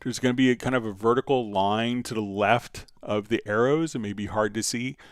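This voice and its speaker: A man hosting a casual live software tutorial, talking haltingly as he thinks aloud while demonstrating. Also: fairly low pitch, steady tone, no echo, clean studio sound. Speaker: livestream host, software instructor.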